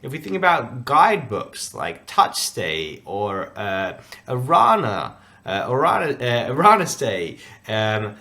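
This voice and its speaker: A man's voice: medium pitch, sing-song, slow pace, sharp tone. Man, podcast host, upbeat, chatty, lots of fillers, remote call. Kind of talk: casual interview chat.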